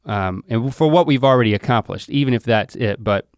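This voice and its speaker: An easygoing man in a casual chat, talking in a relaxed, philosophical way. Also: medium pitch, fairly quick, clean tone, dry recording, clean studio sound.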